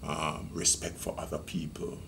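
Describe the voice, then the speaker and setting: A man in his sixties with a Jamaican accent, a retiring senior police officer recalling his rural upbringing in a measured, reminiscing interview. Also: rumbling low, monotone, vocal fry, noisy background. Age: 60s. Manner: measured, reminiscing, with many ums and restarts. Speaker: retiring police deputy commissioner. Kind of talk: reflective interview storytelling.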